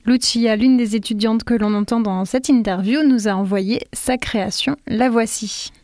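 A woman's voice: fairly high pitch, steady tone, normal speed, darkish tone. Woman hosting an interview show. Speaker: radio show host.

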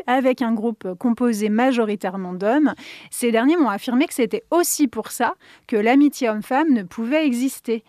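Woman radio host, fairly high voice, close and dry studio microphone, chatty with hesitations. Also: a little mellow, no room sound. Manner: conversational, frequent hesitations, light laughs. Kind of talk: semi-scripted radio commentary.

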